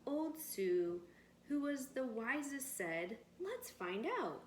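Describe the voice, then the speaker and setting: A woman's voice: high-pitched, slow pace. Woman reading a storybook in a roomy space. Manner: expressive, explains words simply for children. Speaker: teacher reading aloud to children. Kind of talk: storybook read-aloud with simple explanatory asides. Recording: roomy echo with faint background noise.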